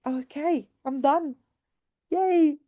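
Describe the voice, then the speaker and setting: A woman's voice: sits high, drawn-out words, mellow. A woman teacher giving an informal online workshop, speaking expressively and effusively and repeating words for emphasis. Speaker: teacher and trainer presenting.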